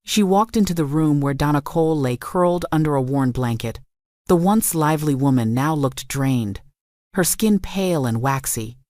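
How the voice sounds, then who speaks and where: A woman reading a scripted dramatic story in a measured, solemn storytelling manner. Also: deep voice, darkish tone, dry recording, studio quality. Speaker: story narrator.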